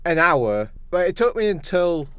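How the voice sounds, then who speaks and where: A man's voice: high voice, very animated, unhurried, fairly bright tone, clean tone, no room sound. A man co-hosting a podcast, sharing his opinions in a casual film discussion. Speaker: podcast co-host.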